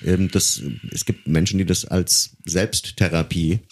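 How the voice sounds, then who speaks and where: A man's voice: sits low, fairly even intonation, measured pace, darkish tone, a little hiss or hum. A man co-hosting a casual podcast conversation, putting questions and telling anecdotes as a fellow performer. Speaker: co-host and stage musician.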